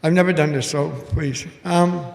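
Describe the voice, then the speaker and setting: An older man with a big, booming voice giving an earnest, halting personal testimony to a church congregation over a mic. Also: steady tone, vocal fry, darkish tone. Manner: earnest, halting, emotional storytelling. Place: church hall. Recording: echoey hall with long reverb.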